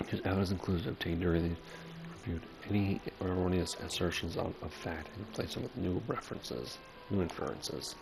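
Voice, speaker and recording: Low, gravelly man doing game commentary. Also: poor audio. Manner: halting when reading on-screen text aloud. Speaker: gamer playing and commenting on a story quest. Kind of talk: casual let's play commentary.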